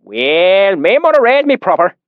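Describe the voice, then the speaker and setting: A man voicing a lean, weathered swineherd in the countryside, using a thick rustic dialect in a high, loud, drawling voice. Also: very animated, a little slow, dry recording, phone-quality sound. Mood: friendly. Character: lean weathered rural male swineherd, suspicious then friendly.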